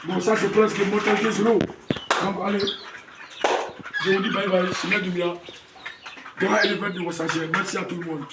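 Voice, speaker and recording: A man's voice: high voice, level tone, normal speed, pauses often. A man in his fifties with a Malian French accent, a poultry breeder talking proudly to camera on his farm, repeating himself and restarting his words. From Mali. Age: 50s. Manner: proud, repetitive, stumbling restarts. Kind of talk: farm tour talk to camera. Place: poultry farm with clucking hens. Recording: echoey, far from the mic, noisy farm.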